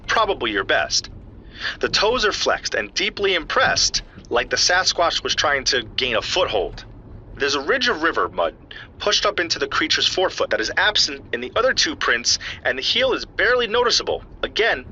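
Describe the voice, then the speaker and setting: A man's voice: fairly high pitch, bright, forceful tone, slightly rough, muffled recording, heavily acted. A man playing an earnest, geeky scientist in an audio drama, explaining evidence to a friend in precise technical detail. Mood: enthusiastic, admiring. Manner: precise, technical, lecturing yet familiar. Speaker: scientist analysing footprint casts.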